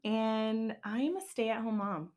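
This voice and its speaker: A woman co-hosting a podcast with friends, chatting casually, animated and laughing often. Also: on the high side, a little slow. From born in Georgia, raised in Florida and Texas. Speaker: podcast co-host, stay-at-home mom. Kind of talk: casual chat among friends.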